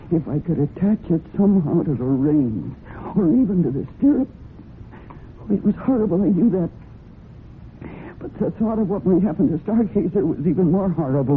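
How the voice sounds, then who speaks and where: An actress plays a guilt-ridden, desperate woman in a radio mystery. Her delivery is deliberate and intense, with halting phrases. The sound is narrow and phone-like, with clear noise over it. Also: on the low side, animated, natural voice. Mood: anguished, intense. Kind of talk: dramatic radio mystery acting. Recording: noisy, narrow-band old radio recording.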